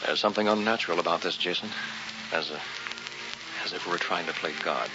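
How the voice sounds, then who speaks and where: A man's voice: deep voice, fast, over loud music. An actor playing a skeptical, uneasy man investigating an occult mystery in a vintage radio drama. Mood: questioning, uneasy. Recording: noisy, muffled vintage radio recording.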